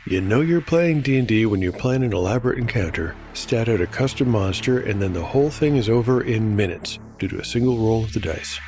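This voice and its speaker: Male solo game master, low pitch, narrates rolls and reflects aloud over clear music. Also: lively intonation, brisk, hardly any pauses, darkish tone. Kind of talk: solo tabletop actual-play narration.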